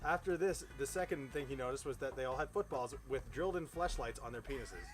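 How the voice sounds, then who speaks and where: A man's voice: medium pitch, lively intonation, quick pace. A man casually reading a story aloud outdoors, stumbling over words and breaking off into informal, profane asides. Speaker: host reading fan fiction aloud. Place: outdoors beside a fire.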